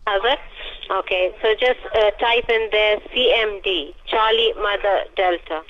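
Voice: medium pitch, unhurried. A caller giving insistent step-by-step computer support instructions over a telephone line. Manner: insistent, spells with code words. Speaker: phone scammer posing as tech support. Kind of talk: scripted step-by-step phone instructions. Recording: dull, faintly noisy telephone line.

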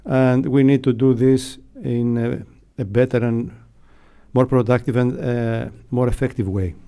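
Mellow middle-aged man. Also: medium pitch, steady tone, a little slow. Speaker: radio sound technician, interviewee. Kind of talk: unscripted explanatory interview answers. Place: acoustically padded radio studio. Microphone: close broadcast mic.

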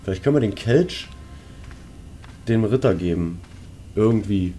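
A man's voice: fairly low pitch, animated, unhurried, dark tone, slight background noise. A man giving casual gameplay commentary in speech, musing aloud as he works through puzzles. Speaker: let's player. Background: game music.

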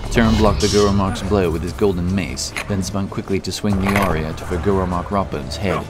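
A man's voice: deep voice, fairly even intonation, moderate pace. A man reading scripted fantasy narration in a dramatic storytelling style. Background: prominent music.

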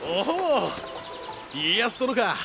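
An actor playing a folksy, reflective aging samurai ruler in a video game. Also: male voice, high-pitched, expressive, slow, heavily acted. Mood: reflective, burdened. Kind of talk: dramatic Japanese video game acting. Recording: loud music behind the voice.

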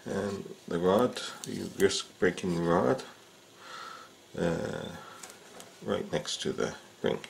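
A man with an extremely low, gravelly voice walks through a hands-on repair at an indoor workbench. He talks slowly and unhurriedly, pausing often with "uh" and "um" as he works. A faint hiss of room noise sits under his voice. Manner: unhurried, with frequent "uh" and "um" fillers. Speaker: fishing rod repair instructor. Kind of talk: casual how-to demonstration.

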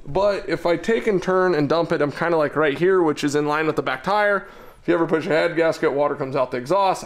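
A man in his thirties with a slightly high-set voice talks casually through his work, thinking aloud as he goes. He sounds informal, like a builder explaining a project to viewers. A clear, steady air noise from the workshop runs behind him. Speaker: car builder and vlog host. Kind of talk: casual hands-on build commentary. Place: metal-walled garage workshop, indoors. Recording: loud steady shop ventilation noise.

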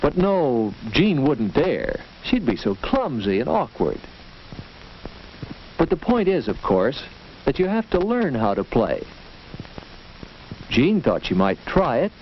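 An American man narrating a scripted 1940s educational film in a measured, instructive storytelling manner, on an old film soundtrack. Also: mid-range voice, sing-song. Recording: dull, noisy, clicking 1940s film soundtrack.

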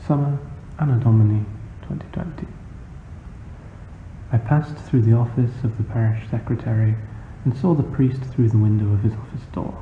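A man reads a literary short story in a measured, formal manner, as scripted narration. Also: mid-range voice. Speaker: author reading a short story. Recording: muffled phone-like sound, noisy, roomy echo.

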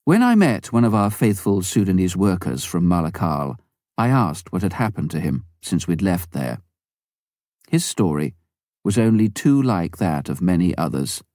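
Deep, dark-voiced man reading a memoir. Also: no room sound, studio quality. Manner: measured, even first-person reading. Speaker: audiobook reader. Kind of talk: scripted memoir narration.

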